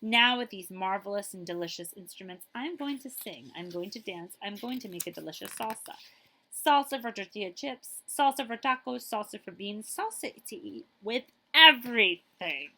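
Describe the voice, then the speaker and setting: A woman's voice: mid-range voice, animated, moderate pace, sharp tone, slight background noise. A woman school librarian reading a children's picture book aloud expressively, with chatty asides to her listeners.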